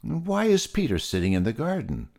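A man's voice: medium pitch, huge pitch swings, moderate pace, creaky, voice pushed up, full character voice. A man doing a gracious lady pig. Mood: welcoming. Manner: gracious, warm. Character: kind female pig, motherly baker.

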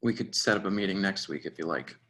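A man's voice: low voice, fast, gravelly, fairly bright tone. An actor playing an overworked, polite and hesitant professional man in a dramatic screenplay reading. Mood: awkward, polite. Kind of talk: dramatic table read.

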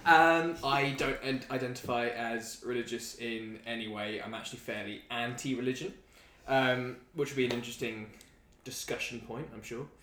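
A man's voice: medium pitch, normal speed, few pauses, fairly bright tone. A man from southern England chatting informally as a guest, a little hesitant and playfully contrary. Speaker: podcast guest. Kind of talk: casual chat. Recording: echoey room with background noise.

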